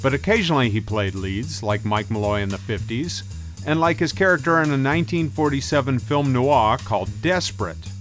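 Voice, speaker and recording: A man's voice: medium pitch, lively intonation, brisk, a bit bright, faint music behind. A man hosting a scripted video essay in a conversational style, as a film commentator.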